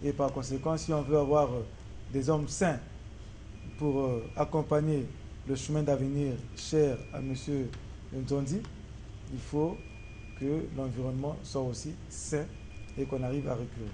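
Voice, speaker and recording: A male talk show host with a Congolese accent addresses his viewers in a mellow voice. His delivery is halting and hesitant, with repeated words, over faint background noise. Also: mid-range voice, steady tone, low-quality recording. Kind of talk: spoken show wrap-up to viewers.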